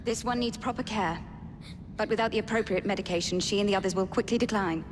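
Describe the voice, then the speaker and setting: An actress playing an earnest, firm Victorian lady nurse in a game, with an English accent and a measured, authoritative manner. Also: mid-range voice, lightly acted. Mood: grave. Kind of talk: video game cutscene acting. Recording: long reverb, clear background noise.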